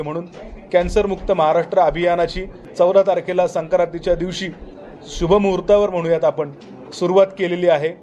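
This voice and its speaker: Man, notably high pitch, softened tone, Maharashtrian Marathi accent, earnest rhetorical appeal in short phrases. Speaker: patients' rights campaign leader. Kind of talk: spoken appeal in a recorded news statement. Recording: faint background noise.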